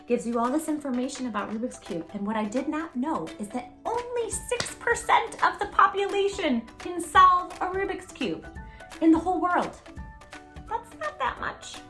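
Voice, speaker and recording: Woman, children's storybook host, bright, higher-pitched, expressive, encouraging, emphatic. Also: normal speed, soft background music. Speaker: storybook reader, channel host. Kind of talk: children's read-aloud with asides to young listeners.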